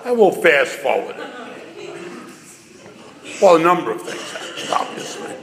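An older man from New with a gravelly voice, telling a personal story with wry, self-mocking humor to a live audience over a stage microphone. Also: on the high side, very animated, a little slow, a bit bright. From New York. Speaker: speaker addressing an audience. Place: large hall before a live audience. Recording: reverberant hall sound.

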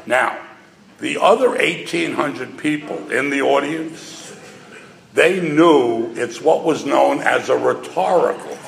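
An older man from New with a gravelly voice, telling a personal story with wry, self-mocking humor to a live audience over a stage microphone. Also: mid-range voice, slow pace. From New York. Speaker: speaker addressing an audience. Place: large hall before a live audience. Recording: reverberant hall sound.

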